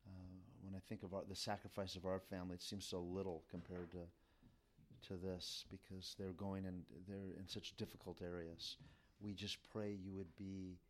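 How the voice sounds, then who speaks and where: Very deep-voiced man praying reverently. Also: little pitch movement, slight background noise. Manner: halting, reverent, with restarts. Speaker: church member leading prayer. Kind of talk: spoken prayer.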